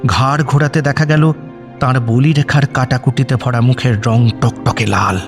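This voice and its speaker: A man's voice: mid-range voice, steady tone, normal speed, music in the background. A man reading dramatic scripted narration as the storyteller of an audio drama.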